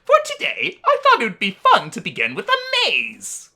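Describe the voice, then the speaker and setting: A woman's voice: a little high, huge pitch swings, moderate pace, sharp tone, pitched above the performer's own voice, exaggerated voice. A woman voicing an exuberant, grandiose male skeleton royal guard for a visual novel game, with pompous, theatrical, emphatic delivery. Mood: excited, proud.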